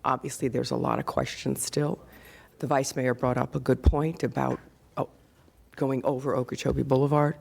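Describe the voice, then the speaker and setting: A man speaking as a county commissioner in a public meeting, hoarse and losing his voice, raising points aloud in a conversational way into a desk microphone. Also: medium pitch. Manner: gravelly, conversational, thinking aloud. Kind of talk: formal public meeting remarks.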